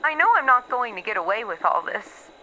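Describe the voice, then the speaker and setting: A woman playing a despairing, bitter researcher in a horror roleplay log, halting and wry as she faces her doom. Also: a little high, big pitch swings, sharp tone, exaggerated voice, poor audio. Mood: bitter, anxious. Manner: halting, wry, self-mocking, sighing. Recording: faint background noise.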